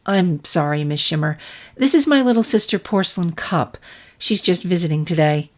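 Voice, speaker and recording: A woman's voice: fairly low pitch, expressive, normal speed, natural voice. A woman voicing a proper, cordial head-maid pony, an elder sister with polite, practiced authority, in a story reading. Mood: apologetic. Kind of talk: fan fiction character reading.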